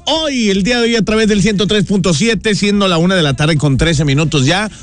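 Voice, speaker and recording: A man's voice: sits high, a little fast. A man in his thirties with a northern Mexican accent hosting a radio show in slangy, exuberant casual talk, repeating phrases for emphasis. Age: 30s. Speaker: radio host. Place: radio studio. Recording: radio broadcast with music underneath.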